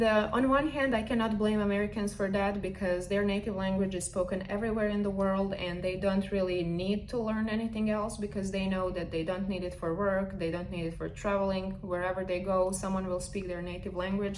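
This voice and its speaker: A woman's voice: mid-range voice, slight background noise. A woman from Serbia with a Serbian accent, talking to camera in an earnest, chatty vlog with slightly non-native phrasing. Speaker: vlogger. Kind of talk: casual vlog monologue. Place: indoors, recording in the US.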